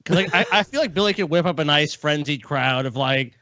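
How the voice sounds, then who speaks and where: A man's voice: fairly high pitch, expressive, a little fast, sharp tone, dry recording. A man joking casually as a podcast panelist. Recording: dull close sound, faint music.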